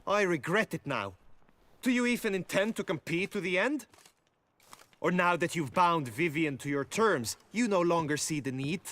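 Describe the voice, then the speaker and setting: A lovesick knight of a fantasy game, pitched high for a man. He speaks in florid, theatrical phrases, anxious and self-important. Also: lively intonation, normal speed, a bit bright. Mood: indignant.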